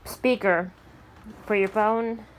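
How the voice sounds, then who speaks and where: A woman's voice: medium pitch, fairly even intonation, slow. A woman talking casually as she narrates an amateur weather vlog.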